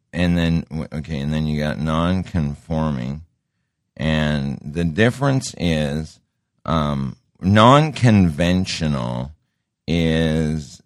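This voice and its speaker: A middle-aged man with a deep voice hosting a show in a casual monologue, rambling and interrupting himself, often repeating words. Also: dry recording, studio quality. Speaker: show host.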